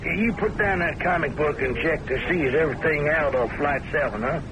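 A good-natured, harried baggage clerk played by a man in an old radio drama. His voice is fairly high, his talk colloquial, hesitant and placating. The recording has clear noise. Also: brisk, natural voice. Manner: colloquial, hesitant, placating, ends phrases with huh.

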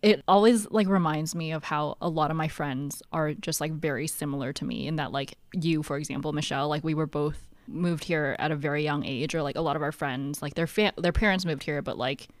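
A woman's voice: sits low, brisk, few pauses, dry recording. A young woman from Hong Kong co-hosting a podcast, chatting casually and telling stories with lots of "like". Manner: chatty, heavy use of "like", storytelling. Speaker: podcast co-host.

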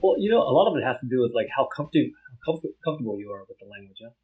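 A man's voice: mid-range voice, very animated, racing, narrow, tinny audio. A man from the United States with an American accent, whose first language is Vietnamese, co-hosting a casual chat and hedging often with "like" and "um". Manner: laid-back, hedges with "like, um". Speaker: podcast co-host.

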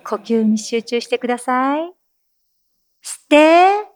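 A woman playing a calm meditation teacher in an audio drama, giving measured, gentle instructions and reassurance. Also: high voice. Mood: calm, insistent. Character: soothing female teacher leading breathing meditation, insistently calm amid menace.

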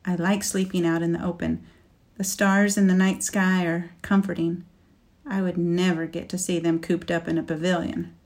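Aina, a bitter young warrior woman full of grief and fury, voiced by a woman reading a storybook, speaks with fierce passion that swells toward a shout. Her tone sits a touch low for a woman. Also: little pitch movement, moderate pace, close to an everyday voice. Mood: wistful. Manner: passionate, rising to near shouting. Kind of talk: audiobook character reading.

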